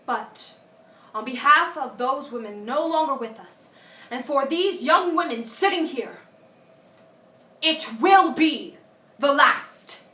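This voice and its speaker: Woman acting as a high-voiced, indignant civil rights orator. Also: lively intonation, pushed and bright, full character voice. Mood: bitter, resolute. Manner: deliberate, emphatic oratory with dramatic pauses. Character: indignant eloquent woman civil rights organizer addressing a gathering. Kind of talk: theatrical audition monologue as a formal speech. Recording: echoey room, distant microphone.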